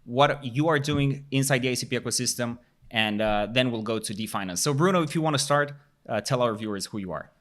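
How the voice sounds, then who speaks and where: A man's voice: medium pitch, brisk, a bit bright. A man hosting a podcast, introducing guests and asking questions in a casual, effusive way, often repeating words. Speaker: podcast host.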